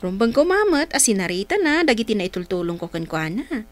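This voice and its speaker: Young wife, played by an actress, Ilocano accent, polite and earnest radio drama, animated mid-pitched voice, music behind. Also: female voice, fairly quick, lightly acted. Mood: hurt, defensive. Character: polite, respectful young married woman, hurt by gossip.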